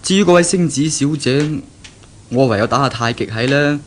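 A faintly noisy 1970s radio drama recording carries a man acting a young, pragmatic fiancé. His voice sits at a medium pitch. He explains himself earnestly, in the reasoning, reassuring manner of a new graduate planning a household. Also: moderate pace, close to an everyday voice. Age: young adult.

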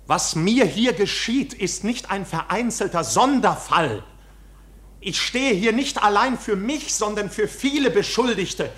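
An agitated, defiant bank officer on trial in a radio play. His voice is bright and forceful, set rather high and swinging widely in pitch. He declaims with passion, like someone pleading for his freedom. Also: exaggerated voice. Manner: impassioned, declamatory. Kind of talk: German radio drama acting.

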